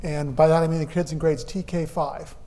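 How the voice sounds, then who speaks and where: A man's voice: on the high side, fairly even intonation, fairly quick, dark tone, slight background noise. An older man, a demographer, giving a methodical public presentation at a city meeting. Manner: explanatory, methodical.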